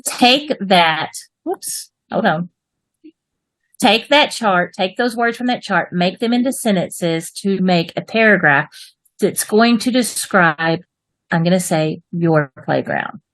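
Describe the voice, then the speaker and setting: A woman's voice: on the low side, expressive, slow pace, fairly bright tone, no echo. Cheerful Texan woman teacher on a video call. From Texas, United States. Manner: upbeat, encouraging, folksy sign-offs. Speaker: English writing teacher. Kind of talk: online class instruction.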